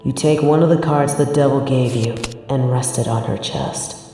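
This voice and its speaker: A man's voice: medium pitch, fairly even intonation, normal speed, mellow. A man narrating a scripted second-person story as its narrator, with heavy reverb on the voice. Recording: long echoing reverb, music underneath. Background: music.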